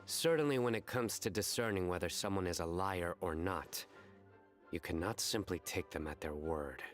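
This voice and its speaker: A man playing a dry, serious young scholar in a video game, speaking in a measured, formal deadpan. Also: low-pitched, soft background music, lightly acted. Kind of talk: scripted video game dialogue.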